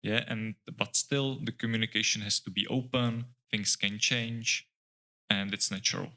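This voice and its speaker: A middle-aged man speaks English in a low, level voice with a bright, forward edge. He talks in a considered, halting way, stopping often to search for the next word. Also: steady tone. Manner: thoughtful, halting, with many "uh" pauses and restarts. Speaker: architect guest. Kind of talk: casual interview chat.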